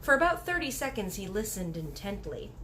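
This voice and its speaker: A woman's voice: mid-range voice, big pitch swings, brisk, bright, forceful tone, a little hiss or hum. A woman reading a children's book aloud as narrator. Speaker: story reader.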